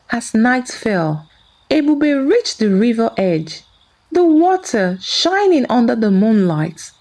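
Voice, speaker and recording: A woman's voice: a little high, creaky, warm tone. A woman narrating a scripted folktale as a storyteller.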